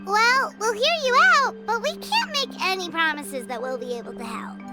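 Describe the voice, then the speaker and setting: A woman voicing a tiny, childlike fairy companion in a video game, squeaky, chirpy and cheeky. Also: animated, moderate pace. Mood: cautious. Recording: loud game music behind voice. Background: game background music.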